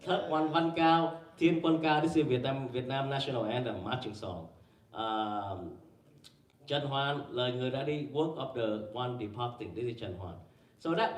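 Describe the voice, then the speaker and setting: A man gives an academic talk, stumbling through fillers and restarts as he asks the audience questions. His voice sits at an ordinary pitch. The recording comes from a distant mic in a roomy, echoing lecture space. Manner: halting, many fillers and restarts, quizzes the audience. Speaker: conference panel presenter. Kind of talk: academic presentation with slides. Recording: echoey room, mic far away.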